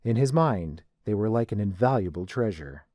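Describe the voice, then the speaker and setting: A man's voice: lowish voice, animated, normal speed, warm tone, no room sound. A man narrating a scripted story as the narrator. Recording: dry voice with music behind.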